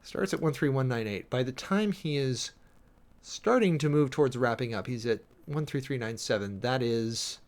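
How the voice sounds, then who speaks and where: A man's voice: medium pitch, moderate pace. Wry man reading aloud with running commentary. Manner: wry, sardonic asides. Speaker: streamer and preacher giving commentary.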